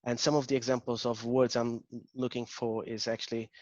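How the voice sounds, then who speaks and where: A man's voice: mid-range voice, flat delivery, fairly quick, a little mellow, dry recording, highs cut off. A man with a Portuguese accent, an agile coach leading an interactive online workshop, hesitant with many ums and restarts. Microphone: video call.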